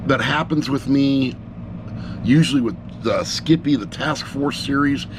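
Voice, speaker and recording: A man's voice: medium pitch, unhurried, noisy background. A middle-aged man gives a casual, unscripted review in a rambling, blunt, self-deprecating way, picked up by an action camera sitting on a laptop. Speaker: amateur audiobook reviewer.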